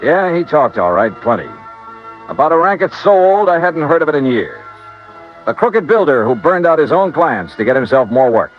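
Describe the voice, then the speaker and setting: An actor playing a tough, driven insurance investigator in an old radio detective drama, with a clipped, forceful delivery. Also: male voice, a little high, sing-song, normal speed, soft background music. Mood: wry narration. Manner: clipped, hard-boiled, forceful. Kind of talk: 1950s radio detective acting with first-person narration. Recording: muffled, noisy vintage radio recording.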